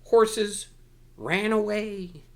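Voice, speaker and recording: A man's voice: sits high, very slow. A man reading a children's picture book aloud in a playful, exclamatory manner with dramatic emphasis. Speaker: storytime reader.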